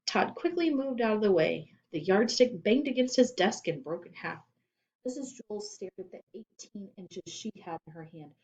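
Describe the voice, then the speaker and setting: A woman reading children's fiction aloud as expressive scripted narration. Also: medium pitch, normal speed, highs cut off. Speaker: storybook reader.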